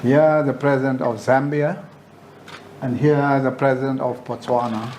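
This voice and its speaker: A man chairing a press conference, speaking in a halting, deliberate way with pauses mid-sentence. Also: medium pitch, fairly even intonation, darkish tone, poor audio. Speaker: football association official chairing a press conference. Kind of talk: informal press conference address. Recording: faint background noise.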